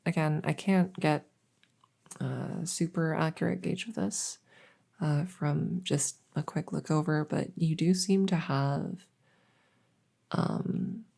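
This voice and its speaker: Woman acting as gentle vet lady, soft asmr roleplay, low mellow voice, kinda hesitant and reassuring. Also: vocal fry, heavily acted. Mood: thoughtful, chatty. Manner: hesitant, soothing, explanatory. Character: gentle, reassuring female veterinarian examining a werewolf patient. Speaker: audio roleplay performer.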